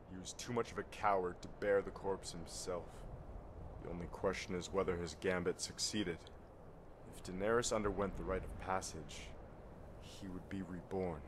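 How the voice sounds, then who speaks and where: Male actor as grave fantasy game warrior, very low voice, solemn formal speech, noisy background. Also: lightly acted. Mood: troubled, brooding. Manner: solemn, formal, archaic phrasing. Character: grave, honour-bound warrior of a sworn order, grieving. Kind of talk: fantasy video game dialogue.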